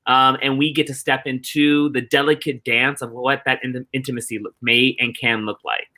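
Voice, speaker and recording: A man with a very bright, forceful voice at a medium pitch. He speaks as an earnest interview guest, deliberate and reflective, building points through rhythmic repetition. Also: flat delivery. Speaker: interview guest, theatre director.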